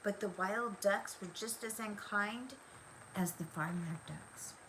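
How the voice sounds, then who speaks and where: An older woman reading a children's story aloud in an expressive, deliberate way. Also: medium pitch, a little hiss or hum, poor audio. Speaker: storybook reader. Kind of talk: scripted children's story narration.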